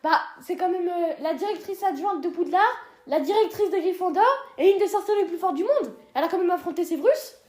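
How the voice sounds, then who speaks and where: A woman's voice: very high-pitched, a little fast, hardly any pauses, a little mellow. A woman co-hosting a casual ranking video, teasing and joking with lots of hesitant fillers. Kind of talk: casual scripted chat.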